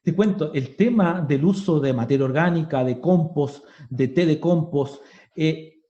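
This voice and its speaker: A man's voice: a little high, unhurried, mellow. A Chilean man explaining farming practices in plain, conversational terms during a webinar. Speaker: agronomy speaker. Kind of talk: webinar talk. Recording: roomy, muffled online call.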